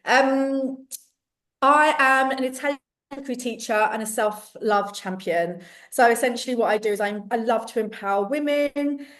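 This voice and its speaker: A woman from London with a strong London accent, a cookery teacher chatting as a podcast guest, enthusiastic and quick to laugh, with many restarts. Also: fairly high pitch, a bit bright, far from the mic. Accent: London English. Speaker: guest, cookery teacher and self-love coach. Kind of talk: casual podcast interview.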